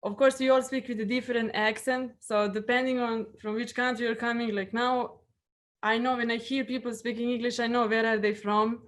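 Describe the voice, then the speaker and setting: A young woman speaks English with a Serbian accent, her voice sitting a little high. She answers in halting stretches, repeating words and reaching for fillers as she goes. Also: little pitch movement. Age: young adult. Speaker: guest. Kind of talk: interview answers.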